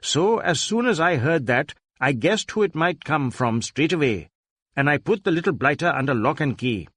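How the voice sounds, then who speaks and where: A man's voice: on the high side, animated, moderate pace, fairly bright tone, husky, no room sound, studio quality. Man as an indignant, self-important businessman. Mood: self-satisfied. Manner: indignant, emphatic. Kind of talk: audiobook character acting.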